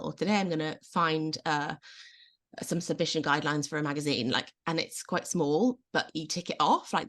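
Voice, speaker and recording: A woman coach, slightly losing her voice, giving gentle, encouraging advice in a casual video-call interview. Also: low-pitched. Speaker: self-belief coach guest.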